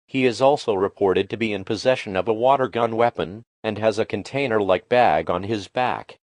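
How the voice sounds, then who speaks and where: A man delivering a formal, official emergency broadcast in a deliberate, impersonal manner. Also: on the low side, a little mellow, no room sound, lightly acted. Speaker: emergency alert announcer.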